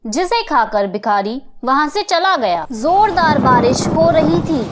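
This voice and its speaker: A woman's voice: sits high, animated, measured pace, heavy background noise. A woman narrator reading a scripted children's moral story.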